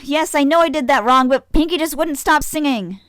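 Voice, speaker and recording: A woman's voice: high voice, normal speed, a bit bright, no room sound, clean studio sound. A woman voicing an earnest, righteous young pony heroine who leads her friends, in a fan fiction audio drama.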